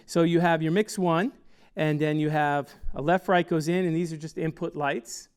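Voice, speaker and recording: A man in his sixties, an audio designer, explaining a loudspeaker system in a technical, rambling way with fillers, on a lapel mic in a small studio. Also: on the high side, fairly even intonation, moderate pace, clean tone. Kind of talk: product explainer. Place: small studio with wooden backdrop.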